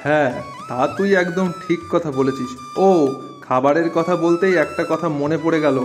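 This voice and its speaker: A man's voice: on the high side, moderate pace, soft background music, lightly acted. A man playing a hospitable village working man in a story cartoon, chatting in a hearty, familiar way. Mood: friendly, inviting. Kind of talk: Bengali moral-story cartoon dubbing.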